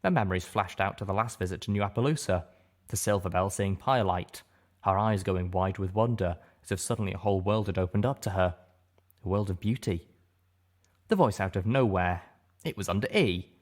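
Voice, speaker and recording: A man's voice: deep voice, brisk. A man reading a first-person story aloud as dramatic scripted narration. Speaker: audiobook reader.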